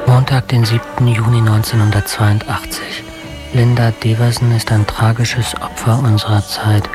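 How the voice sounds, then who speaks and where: A man playing an obsessive, self-righteous diarist in a radio drama, speaking solemnly and deliberately. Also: medium pitch, flat delivery, lightly acted. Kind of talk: dramatic monologue, diary entry. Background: music.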